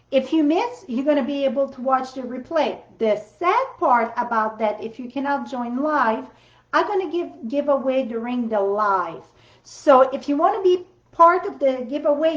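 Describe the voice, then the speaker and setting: Brief: woman host with a French accent, high and unhurried, chatty livestream demo, roomy home craft-room sound. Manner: chatty, rambling, loose non-native grammar. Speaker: card-making livestream host. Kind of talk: casual live demo chat. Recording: roomy, slightly dull sound.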